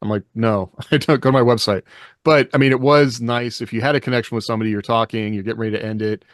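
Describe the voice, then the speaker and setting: A man's voice: medium pitch, steady tone, fast talker, no echo, studio quality. A man co-hosting a podcast in casual, conversational chat. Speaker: author and podcast co-host.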